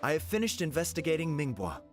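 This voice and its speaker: An actor playing an earnest, competitive young investigator and dutiful helper in a video game. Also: male voice, a little high, big pitch swings, fast, faint music behind. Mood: earnest reporting.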